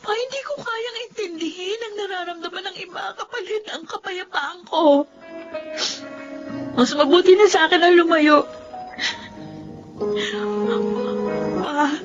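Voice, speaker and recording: A woman's voice: very high voice, steady tone, normal speed. A woman playing a hurt, defiant young granddaughter with a Filipino accent, protesting plaintively in an emotional melodrama. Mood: pleading resolve. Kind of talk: radio-style melodrama acting. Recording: dull lo-fi sound under music.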